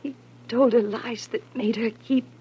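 An actress playing a frightened young woman in a classic radio thriller, halting and pleading in manner. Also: fairly high pitch, fairly even intonation, dark tone, husky. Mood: faltering confession. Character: frightened young woman clinging to tough detective. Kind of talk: classic radio drama acting. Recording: muffled, noisy old radio recording.

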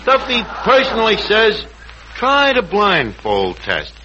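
Hapless tavern manager Archie, muffled old radio. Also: male voice, high voice, huge pitch swings, slow pace, sharp tone, heavily acted. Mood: mock-formal. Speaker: host. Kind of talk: 1940s radio comedy acting. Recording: muffled, very noisy vintage radio broadcast.

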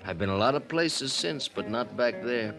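An actor playing a tipsy, world-weary middle-aged radio producer who rambles theatrically and laughs in a 1950s radio drama. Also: male voice, medium pitch, lively intonation, moderate pace, music in the background, close to an everyday voice. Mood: confiding.

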